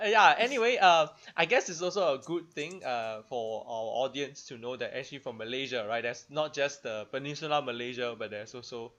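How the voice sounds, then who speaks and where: A man's voice: mid-range voice, a bit bright. A man from Singapore with a Singaporean accent, hosting a casual video call chat, chatty with many fillers. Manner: chatty, laughing, filler-heavy. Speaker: host. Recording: dull lo-fi sound.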